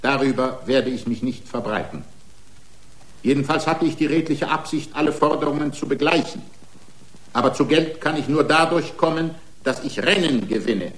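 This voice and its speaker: An actor playing an aggrieved, excitable racing driver in a radio drama, pleading his case in indignant, long-winded, formal rhetoric. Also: medium pitch, moderate pace, lightly acted, rough recording. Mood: self-pitying. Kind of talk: radio drama acting, courtroom speech.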